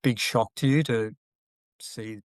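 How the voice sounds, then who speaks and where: A man's voice: on the low side, fairly even intonation, slow pace, no room sound, studio quality. A man asking questions in a casual, conversational interview. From Australia.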